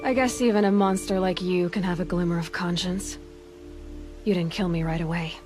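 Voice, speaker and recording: A woman's voice: lowish voice, steady tone, moderate pace, a little mellow, faint music behind, close to an everyday voice. Defiant young huntress played by a woman. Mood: scornful. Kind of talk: video game voice acting.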